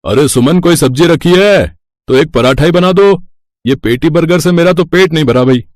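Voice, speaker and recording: A man's voice: fairly high pitch, moderate pace, darkish tone, no echo, close to an everyday voice. Man as everyday husband, dry sound. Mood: hungry, complaining. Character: grown sons, ordinary family husbands. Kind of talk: Hindi moral-story cartoon voice acting.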